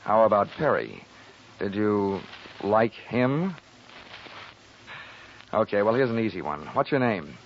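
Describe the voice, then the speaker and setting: An actor playing a wisecracking, hard-boiled private investigator, deadpan and sardonic, in a 1940s radio drama. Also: male voice, lowish voice, slow, long pauses, slight background noise, exaggerated voice. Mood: sardonic, wry. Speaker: lead and narrator. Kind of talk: 1940s radio acting and narration. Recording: muffled 1940s radio recording.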